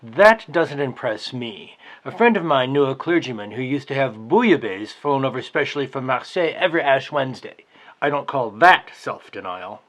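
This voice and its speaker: A man's voice: mid-range voice, lively intonation, moderate pace, a bit bright. A man playing an irritable male library boss in a dramatized novel reading, fussy, sarcastic and gossipy. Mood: irritated.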